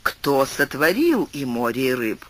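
A man reading an audiobook and voicing a calm, persuasive young sage in a slightly raised voice. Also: medium pitch, unhurried. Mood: reasoning.

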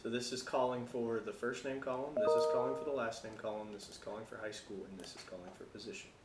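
An American man giving a casual software tutorial, talking informally and thinking aloud as he works. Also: mid-range voice, steady tone, fast talker, roomy sound, over loud music. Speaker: tutorial presenter.

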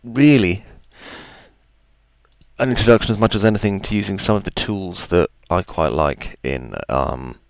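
Gravelly deep-voiced man. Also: normal speed, no echo, studio quality. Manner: chatty, self-deprecating, thinks aloud with ums. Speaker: software tutorial host. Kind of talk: screen-recorded software tutorial.

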